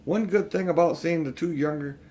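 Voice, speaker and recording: An older man narrating a children's storybook in an expressive, emphatic storytelling manner. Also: fairly high pitch, a little fast. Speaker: audiobook reader.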